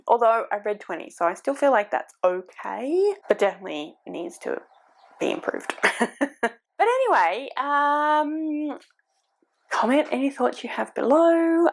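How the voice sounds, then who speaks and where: Chatty woman in her forties, gravelly. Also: mid-range voice. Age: 40s. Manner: chatty, self-deprecating, thinking aloud. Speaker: book vlogger. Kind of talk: casual chat to camera.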